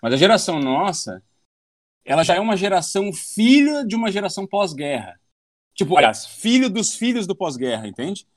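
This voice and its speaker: A man's voice: sits high, big pitch swings, a little fast, dry recording, studio quality. A man in his thirties, a podcast guest, talking at length in a rambling, philosophical way and swearing casually. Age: 30s.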